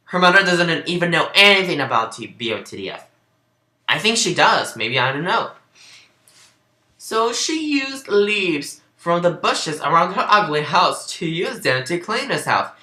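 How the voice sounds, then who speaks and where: A woman's voice: sits low, sharp tone. A woman giving a mocking amateur dramatic reading of fan fiction, breaking off into sarcastic asides. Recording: roomy, echoing home recording.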